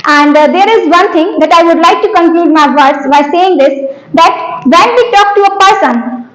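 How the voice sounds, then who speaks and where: A woman's voice: very high-pitched, moderate pace, fairly bright tone, roomy sound, poor audio. A young Indian woman, a native Hindi speaker, giving a prepared classroom speech with many hesitations and restarts. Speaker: student.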